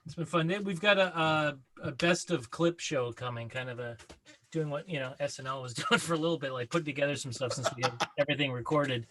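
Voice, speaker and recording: A man's voice: a little high, brisk, a bit bright, slight background noise. A man in casual conversation on a video call, talking loosely and repeating his phrases. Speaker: drama teacher and comedy troupe member. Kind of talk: casual chat. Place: remote group video call.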